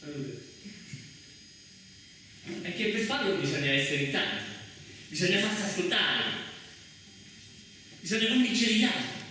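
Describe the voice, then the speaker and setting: A man performing a theatrical comic monologue as a solo stage actor, halting and interrupting himself, then swelling into declamation. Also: high-pitched, big pitch swings, sharp tone, slight background noise. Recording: echoey hall, far from the mic.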